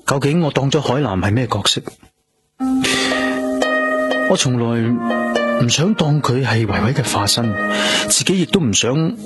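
An actor with a Hong Kong Cantonese accent playing a brooding, tender, grieving police detective in a radio drama, restrained and introspective. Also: male voice, mid-range voice, animated, normal speed, pauses often, close to an everyday voice. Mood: troubled, conflicted. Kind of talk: Cantonese radio drama acting, dialogue and inner monologue. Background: prominent music.

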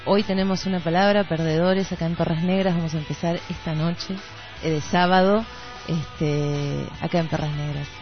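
A woman's voice: on the low side, fairly even intonation, moderate pace. A woman with a Rioplatense Argentine accent co-hosting a casual radio show, talking playfully and often repeating words and phrases. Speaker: radio host. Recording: dull lo-fi radio, faint music behind.

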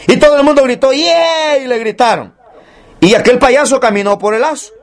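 High-pitched male preacher exhorting his congregation. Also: a little fast. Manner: exhorting, rhetorical questions, addresses listeners directly. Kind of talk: evangelical sermon to a congregation.

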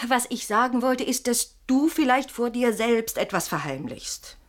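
Insinuating wife in a radio drama, highish voice swooping around, mockingly sweet n theatrical. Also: female voice, animated, a little slow. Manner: theatrical, probing, ironic. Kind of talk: German radio play acting.

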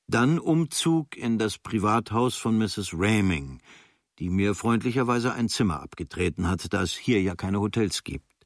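A low-pitched young German writer reads his travel diary for a radio play. He is observant and dryly critical, with sober, measured, clipped phrasing. The sound is close and dry. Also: lively intonation, no echo. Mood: detached, observant. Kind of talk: literary reading of a travel diary.

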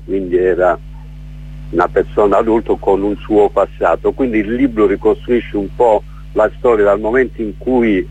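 A man's voice: on the low side, a little mellow, breathy edge. A middle-aged man from Calabria, an author speaking as a radio interview guest, hesitant with many fillers and restarts. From Calabria, southern Italy. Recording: muffled lo-fi radio broadcast.

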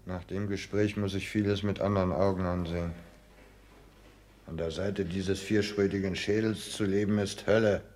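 An actor playing a sickly, touchy lodger full of wounded dignity, querulous and self-pitying, in a 1960s German radio play. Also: male voice, low voice, steady tone, normal speed, a little mellow, natural voice. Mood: bitter, weary.

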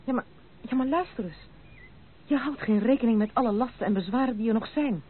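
A woman's voice: fairly high pitch, brisk, husky. An actress playing a proud, troubled young woman in love, earnest and dramatic, in a Dutch radio play. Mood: agitated, resisting. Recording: narrow, noisy old radio play recording.

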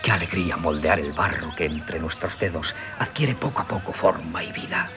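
An actor playing an earnest, polite and sickly young would-be sculptor in a Spanish radio play, with a Castilian accent and hesitant delivery. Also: male voice, low voice, normal speed, natural voice. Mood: earnest, chatty. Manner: polite, hesitant, deferential storyteller. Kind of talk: 1970s Spanish radio theatre acting. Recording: 1970s radio drama with music.

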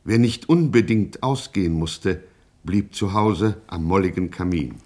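A man's voice: sits low, animated, unhurried, darkish tone. A man narrating a radio crime satire in a florid, mock-solemn, dramatic storytelling style.

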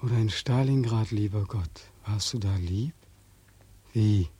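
An actor playing a bitter, despairing young war veteran in a 1940s German radio drama, anguished, sardonic and accusing. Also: male voice, lowish voice, measured pace, warm tone, husky. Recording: dry 1940s radio studio recording.

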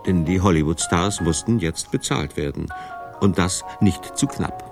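A man reading scripted narration for a radio documentary. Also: sits low.

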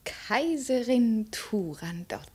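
High-voiced, imperious princess. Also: female voice, animated, slow, warm tone, slightly rough, full character voice. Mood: probing, suspicious. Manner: probing, ironic, imperious. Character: proud, shrewd, cold young ruling princess. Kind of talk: 1950s German radio drama acting.

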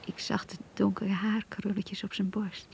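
An actress playing a shy, anxious young woman in love, reading her diary in a radio drama in an intimate, hesitant, breathy voice. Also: mid-range voice, level tone, a little slow, a little mellow, a little hiss or hum. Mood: tender, yearning. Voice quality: husky, breathy, half-whispered.

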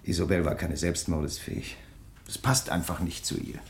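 An actor playing a suspicious, insistent man crime writer in a German radio drama, questioning in a pointed, deliberate way. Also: low voice, flat delivery, slight background noise, natural voice. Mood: brooding, bitter.

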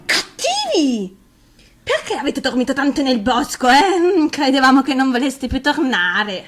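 A harsh stepmother scolds in a high, swooping voice that stretches words out. She sounds hard and cutting throughout. Guitar music plays clearly underneath. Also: very animated, fairly bright tone, heavily acted. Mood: scolding. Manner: shrill, scolding, drawn-out words. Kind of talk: fairy tale read aloud on radio.

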